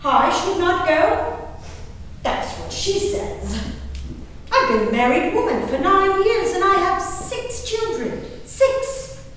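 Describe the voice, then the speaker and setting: An excitable, willful young gentlewoman played by a stage actress confides her diary in a dramatic monologue. Her voice is high and unhurried, savoring each word expressively. It carries from a distance through a very echoey hall. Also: heavily acted. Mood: defiant, excited. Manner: dramatic, expressive, savoring words with emphatic pauses. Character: Elizabeth Simcoe, excitable, willful young English gentlewoman diarist. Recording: distant, echoey hall recording, faint noise.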